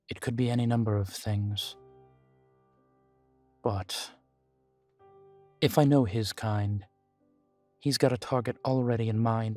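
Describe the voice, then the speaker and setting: A man's voice: medium pitch, no echo, close to an everyday voice. Man voicing a guarded, formal male vampire, music behind. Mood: guarded, defiant. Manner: formal, measured, deliberate phrasing. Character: guarded, world-weary male vampire informant, proud but fond. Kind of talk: scripted audio roleplay to the listener. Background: background music.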